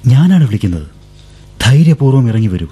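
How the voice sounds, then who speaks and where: A man's voice: medium pitch, sing-song, normal speed, mellow, slightly rough. An actor playing a young man urging the woman he loves to leave with him, in a radio drama. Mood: pleading. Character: young man pleading with his beloved, earnest suitor. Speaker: lead character. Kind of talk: 1970s radio drama acting. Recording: old radio broadcast with faint music.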